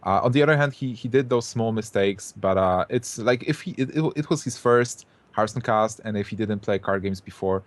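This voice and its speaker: This man has a fairly low voice and a dry, close recording. He gropes for words and restarts his sentences as he gives his opinions. He speaks like a co-host in a relaxed chat. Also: fairly quick, few pauses, no room sound. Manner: hesitant, many fillers and restarts. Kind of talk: casual podcast chat.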